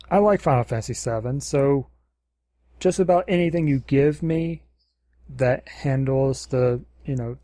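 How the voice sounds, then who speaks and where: A man chatting casually as a podcast co-host, low-key and noncommittal, hesitating as he searches for words. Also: mellow, dry recording. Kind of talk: casual chat.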